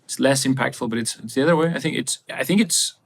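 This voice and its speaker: Podcast host guy, gravelly voice, hesitant, lots of uh and i guess. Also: mid-range voice, fast talker. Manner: hesitant, searching for words with fillers. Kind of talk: interview conversation.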